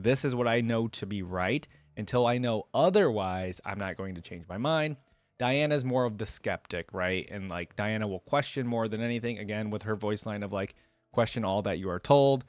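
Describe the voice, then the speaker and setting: A man's voice: fairly low pitch, no room sound. A man giving casual, slangy fan commentary as the host of a reaction video. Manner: informal, slangy fan talk.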